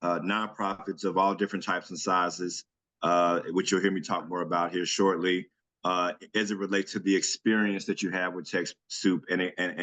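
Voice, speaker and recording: A man with a very low voice presents a webinar over a video call. He talks in a relaxed, chatty way, with plenty of ums and small restarts as he goes. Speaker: senior director presenting a webinar. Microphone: computer mic on a video call.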